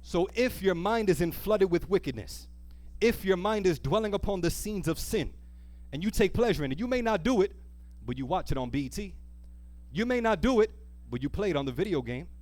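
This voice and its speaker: Guy preaching in church, kinda high voice, earnest, repeats stuff and quizzes the congregation. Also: expressive, fairly quick, darkish tone, dry recording. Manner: earnest, emphatic, repeats key phrases and prompts listeners. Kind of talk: church sermon with call-and-response questions to the congregation. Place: speaking live to a church congregation.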